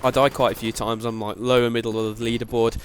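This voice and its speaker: Fast-talking nasal man with a cold. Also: medium pitch, slight background noise. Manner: quick, even delivery with repeated "very, very". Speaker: gaming commentator. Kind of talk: gameplay commentary with tips. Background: game gunfire and explosions.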